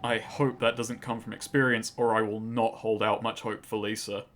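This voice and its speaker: A man's voice: fairly low pitch, level tone, normal speed, a bit bright. A man giving scripted, sardonic and profane game commentary. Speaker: game reviewer.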